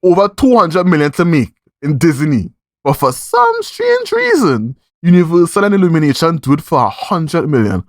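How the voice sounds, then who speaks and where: A man's voice: on the high side, wildly swooping pitch, moderate pace, no echo, clean studio sound, soft background music. A man with a Trinidadian accent hosting a podcast in casual review chat, speaking emphatically and repeating phrases for effect. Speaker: podcast host.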